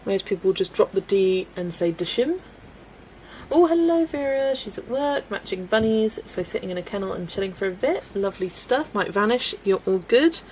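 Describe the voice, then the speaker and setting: A woman's voice: medium pitch, normal speed, poor audio. Young woman, livestream host, casual and chatty, laughs a lot, faint background hiss. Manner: relaxed and chatty, laughs often, talks to herself while working. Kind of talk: casual chat with a live chat while colouring.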